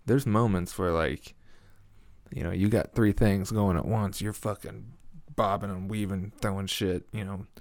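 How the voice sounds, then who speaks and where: A young man with a deep voice hosting a casual solo podcast, laid-back and swearing often, chuckling at his own stories. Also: fairly even intonation, unhurried, a little mellow, no room sound. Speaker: podcast host. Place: small home room with foam on the walls. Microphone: studio mic at a desk.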